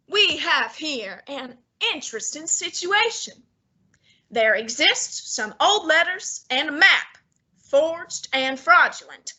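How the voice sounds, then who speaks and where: A woman playing a portly, imperious male detective genius in a student radio drama, measured and condescending. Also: high voice, pushed and bright, exaggerated voice. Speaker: student actor.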